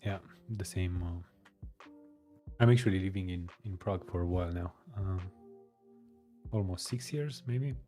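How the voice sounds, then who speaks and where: A man hosting a live stream, chatting casually with viewers, hesitant with many pauses and fillers. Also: sits low, dark tone. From Romania. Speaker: live-streaming coding host. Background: faint music.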